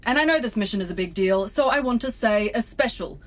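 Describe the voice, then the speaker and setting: A woman's voice: mid-range voice, animated, fairly quick, close to an everyday voice. A woman playing a stern, no-nonsense starship captain in a sci-fi comedy audio drama, clipped and commanding. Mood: commanding, curt.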